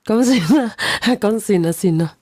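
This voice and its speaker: A woman's voice: medium pitch, lively intonation, unhurried, darkish tone. A woman hosting a call-in show, casually probing and cutting in with questions. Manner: questioning, interjecting.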